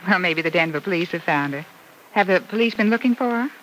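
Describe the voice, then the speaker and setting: Curious chatty confidante, bright clear-cut tone, old radio serial acting, slightly noisy recording. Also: female voice, lowish voice, animated, fast talker. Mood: concerned. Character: curious, chatty woman, a concerned family confidante. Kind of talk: old-time radio soap opera acting.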